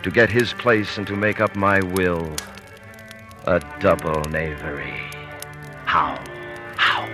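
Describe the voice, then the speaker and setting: An actor playing a two-faced military ensign, a sly, insistent villain, in old radio Shakespeare style. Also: male voice, low voice, slow pace, pauses often. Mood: brooding, plotting. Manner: sly, insistent, theatrical cajoling. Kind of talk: 1940s radio Shakespeare acting. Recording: old radio broadcast with music.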